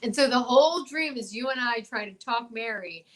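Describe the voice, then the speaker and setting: A woman co-hosting a casual show, chatting and telling stories in an animated, gushing, joking way. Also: on the high side, moderate pace. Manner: animated, gushing, jokey storytelling. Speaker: show co-host. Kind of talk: casual comic chat. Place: remote livestream from quarantine at home.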